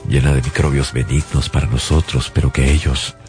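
Radio drama: haunted survivor giving grave testimony, extremely low voice, music underneath. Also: male voice, little pitch movement, a little fast. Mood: weary, haunted. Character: haunted male survivor of an invasion, husband and father. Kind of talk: radio drama first-person narration. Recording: loud music bed behind the voice.

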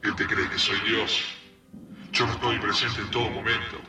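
A man's voice: on the low side, level tone, normal speed, natural voice. Actor as a ghostly father, echoing from afar. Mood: teasing, impatient. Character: ghostly father speaking to his son, casual and teasing. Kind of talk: radio drama acting. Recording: roomy, distant, music behind.

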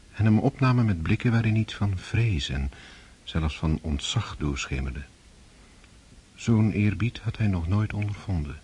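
A man reading scripted literary narration for a radio drama, composed and measured. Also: sits low, a little mellow, slightly rough. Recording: noisy old radio drama recording.

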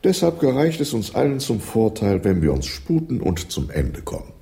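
An actor playing a pompous English lord in a radio drama, brisk and ceremonious in his formal phrasing, with a creaky, mellow voice. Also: mid-range voice, wildly swooping pitch. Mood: pompous, impatient.

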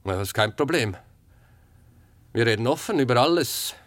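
A man's voice: mid-range voice, lively intonation, moderate pace, a bit bright, no room sound, close to an everyday voice. A man acting in a radio drama as a secretive, brooding working man who talks tersely and insistently in colloquial words. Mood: guarded, uneasy.

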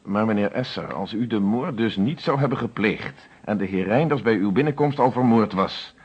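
An actor playing a methodical police inspector in a radio drama, questioning formally and with authority in a husky voice, on an old recording. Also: male voice, fairly low pitch, animated, moderate pace, darkish tone, muffled recording. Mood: probing.

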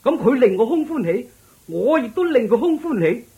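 A man's voice: extremely high pitch, sing-song, normal speed, dark tone, breathy edge, exaggerated voice. A man with a Hong Kong Cantonese accent playing a smug, tricky, miserly lord in a radio drama, theatrical and condescending. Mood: smug lecturing.

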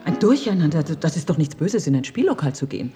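An actress playing a genteel, inquisitive countess in a German radio play, polite, animated and chatty. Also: female voice, low voice, quick pace, over music. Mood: excited prying.

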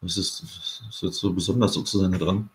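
A man's voice: low-pitched, steady tone, fast, poor audio. A man co-hosting a casual panel discussion on a podcast. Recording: clear background noise.

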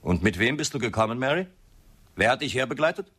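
An actor playing a suave, grandiose, mocking builder with theatrical, ironic delivery in a radio drama. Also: male voice, mid-range voice, sing-song, fast talker, a bit bright, breathy edge. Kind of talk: German radio drama acting.

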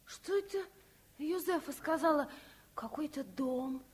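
An actress playing an eager, excitable little girl with a childlike manner in a Soviet radio drama. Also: high-pitched, measured pace. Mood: curious, pleading.

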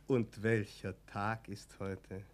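A man's voice: fairly low pitch, level tone, slow, slight background noise. A man playing an officious regime-loyal senior physician in a German radio play, speaking in a clipped, formal, accusatory way. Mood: goading. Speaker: actor.

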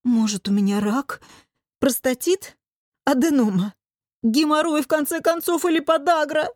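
A woman's voice: sits high, fairly even intonation, normal speed, dry recording, clean studio sound, voice pushed up, natural voice. A woman voicing a whiny, hot-tempered young man in an audiobook, wailing and dragging out his complaints. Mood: whining.

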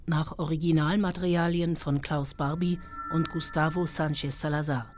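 Low-voiced formal woman announcer. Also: steady tone, darkish tone, soft background music. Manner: formal, measured. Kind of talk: scripted title announcements.

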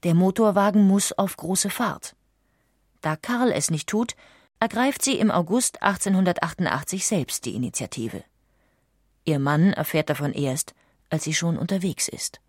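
A woman narrates a documentary script with a fairly low voice. The sound is very dry, and she tells the story in a measured way. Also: lively intonation, no room sound.

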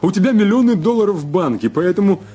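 A sleazy blackmailing husband for an audio drama. His highish voice swings widely as he drawls, wheedles and mocks, repeating himself with gloating relish. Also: animated, fast, mellow. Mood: wheedling, greedy. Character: sleazy, mocking blackmailer husband back from prison.

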